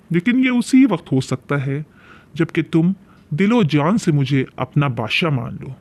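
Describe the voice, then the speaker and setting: A man's voice: on the high side, a little mellow. A man voicing a big, powerful, sly female eagle ruler in a cartoon fairy tale, with grand, persuasive speech-making. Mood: persuasive, solemn.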